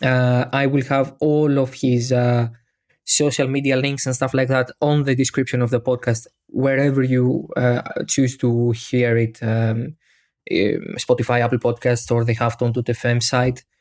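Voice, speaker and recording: A man's voice: medium pitch, flat delivery, unhurried, a little mellow. A man with a Greek accent, a native Greek speaker, hosting a podcast in a casual, joking, self-deprecating way. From Greece. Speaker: podcast host.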